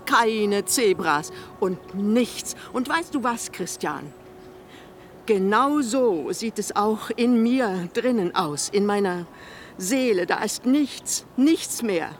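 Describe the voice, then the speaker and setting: A woman's voice: mid-range voice, slight background noise. An actress playing a sick, eccentric older mother in a German radio play, theatrical, reproachful and sarcastic. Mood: bleak. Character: sick, eccentric old mother, bitter, manipulative, scolding her son.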